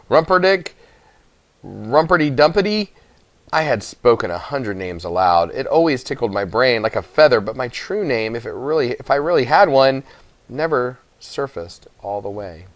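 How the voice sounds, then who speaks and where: A man's voice: medium pitch, no echo. A man narrating a children's book expressively as a story reader.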